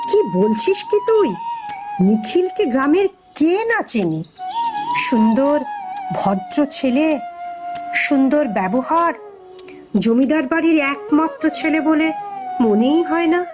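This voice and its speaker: A woman's voice: high voice, big pitch swings, takes it slowly, long pauses, over music, full character voice. A woman voicing an anxious, easily astonished elderly village mother in a fairy-tale cartoon, with exclamatory, pleading village speech.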